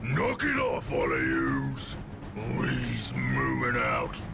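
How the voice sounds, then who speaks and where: A crude orc warlord, gravelly and very deep, roaring, slow and swooping, over score. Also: male voice, wildly swooping pitch, exaggerated voice. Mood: bellowing. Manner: shouting, gravelly, crude slang. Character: brutish loud male orc boss. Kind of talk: video game cutscene acting. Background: music.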